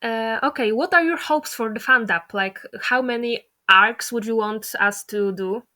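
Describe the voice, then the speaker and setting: A woman's voice: a little high, measured pace, sharp tone. A woman hosting a casual interview, asking questions in an informal, slightly tentative way.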